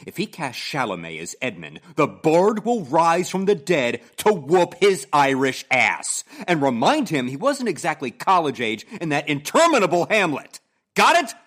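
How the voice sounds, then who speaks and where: A man's voice: on the high side, sing-song, moderate pace, a bit bright. Man playing a pompous, swooping old thespian. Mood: indignant. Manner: theatrical, declamatory, pompous. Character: pompous, indignant theatrical older male actor-turned-agent. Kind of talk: comedy audio drama.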